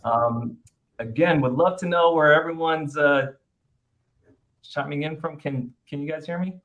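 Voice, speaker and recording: A man's voice: on the high side, unhurried, a bit bright, muffled recording. A man hosting a webinar over a video call, upbeat and chatty as he welcomes attendees. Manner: upbeat, chatty, many fillers and restarts. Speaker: webinar host. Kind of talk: webinar presentation.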